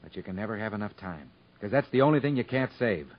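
An actor playing a stubborn, proud rural moonshiner and husband with folksy country talk in a 1950s radio drama. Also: male voice, lowish voice, lively intonation, quick pace. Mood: earnest, tender. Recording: muffled 1950s radio broadcast recording.

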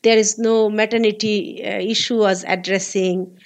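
A woman in her forties from Bangladesh with a Bangladeshi accent, speaking earnest, halting English as an interview guest. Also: mid-range voice, little pitch movement. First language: Bengali. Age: 40s. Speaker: guest, labor activist.

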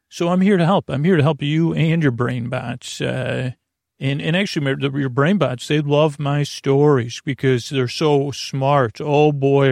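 Man, creaky gravelly voice, mid pitch, close dry sound, meandering bedtime podcast host, halting, whimsical, reassuring. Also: darkish tone, no echo. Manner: meandering, halting, repeats and trails off mid-sentence. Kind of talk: rambling bedtime sleep podcast monologue.

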